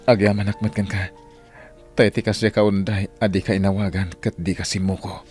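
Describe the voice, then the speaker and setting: A man's voice: lowish voice, flat delivery, normal speed, darkish tone, soft background music, natural voice. A man with a Filipino accent playing an earnest, sentimental young husband in an audio drama. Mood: tender, sincere.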